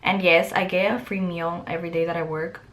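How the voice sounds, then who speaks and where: Young woman, Mexican-born, Spanish first language; relaxed bedroom vlog chat with loose fillers, close to the camera. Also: fairly low pitch, brisk. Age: young adult. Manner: chatty, many "like" fillers. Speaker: vlogger. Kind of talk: casual Q&A chat. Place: bedroom, indoors.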